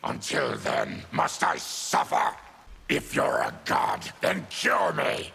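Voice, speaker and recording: An actor playing an afflicted, embittered mutant warrior in a video game, with a gravelly growl and grim, deliberate, menacing delivery. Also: male voice, mid-range voice, wildly swooping pitch, sharp tone, heavily acted. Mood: aggrieved. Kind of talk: video game character dialogue. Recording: clear background noise with clicks.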